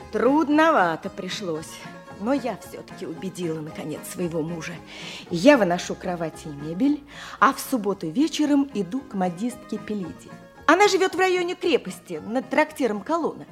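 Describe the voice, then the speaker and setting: A woman's voice: medium pitch, very animated, moderate pace, over music, close to an everyday voice. An actress playing a chatty, worldly-wise married peasant woman in an audio drama, talking in a matter-of-fact, gossipy way. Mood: confiding, wry. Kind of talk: theatrical audio drama with first-person narration.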